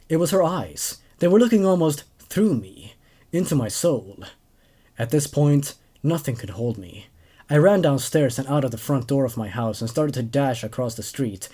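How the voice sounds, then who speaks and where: A man's voice: medium pitch, warm tone, breathy edge. A man in his twenties hosting a horror story show, reading aloud and chatting casually about the tales. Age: 20s. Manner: hesitant, repeats words when commenting. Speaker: host and narrator of horror stories.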